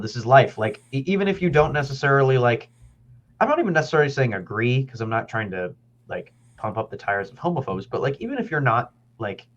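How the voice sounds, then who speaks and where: A man co-hosts a podcast with a lively, swinging pitch, cracking jokes and swearing freely. His audio has a dull, lo-fi tone. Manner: animated, joking, profane. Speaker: podcast co-host. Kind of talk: casual chat. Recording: dull lo-fi sound.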